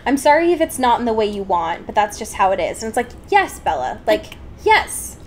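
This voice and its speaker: A woman co-hosting a podcast, chatting casually about a book in an expressive, slangy way with exasperated humour. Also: a little high, fairly quick, a little hiss or hum. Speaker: podcast co-host. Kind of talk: casual book discussion chat.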